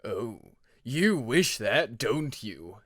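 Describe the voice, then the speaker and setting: A man voicing a menacing, shadowy dragon from an audiobook story, with a drawn-out, ominous and taunting delivery. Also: mid-range voice, big pitch swings, a bit bright, no echo, clean studio sound, pitched below the performer's own voice, full character voice.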